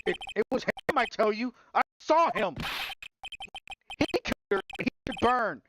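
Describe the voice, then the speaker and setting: A man's voice: sits high, animated, normal speed, noisy background, voice pushed up. A man voicing a panicky, angry male witness in a courtroom video game, stammering and ranting. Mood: enraged, frantic.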